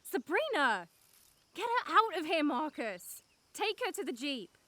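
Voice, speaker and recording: A woman's voice: high-pitched, very animated, a little slow, bright, forceful tone, dry recording, full character voice. A woman with a Northern Irish accent playing an urgent young survivor who takes charge, in an audio drama.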